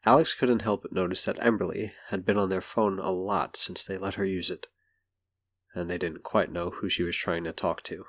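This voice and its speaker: A man's voice: low voice, steady tone, moderate pace, a bit bright. A man reading scripted story narration for an audio drama. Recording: music behind.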